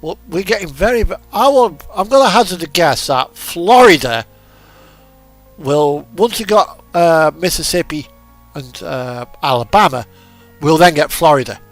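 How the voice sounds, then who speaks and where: A man's voice: on the high side, lively intonation, measured pace, no room sound. A man chatting casually and thinking aloud in a rambling way while playing a driving game. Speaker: video game streamer. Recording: music playing behind the voice. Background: radio music and truck engine.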